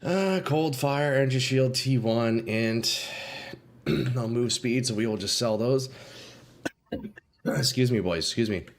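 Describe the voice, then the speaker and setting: A young man doing casual live commentary while playing a game, a bit congested and clearing his throat often, swearing and talking to chat. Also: mid-range voice, slow pace, pauses often. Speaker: game streamer.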